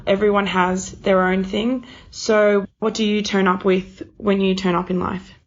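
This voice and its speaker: Woman, interviewer, conversational, encouraging and affirming, medium pitch, slightly lo-fi recording. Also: flat delivery, measured pace. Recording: slightly dull, lo-fi sound.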